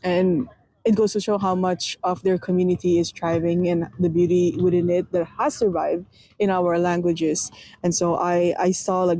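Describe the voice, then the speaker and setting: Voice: medium pitch, mellow, no room sound. A radio interviewer of Filipino heritage asking long, rambling questions full of fillers.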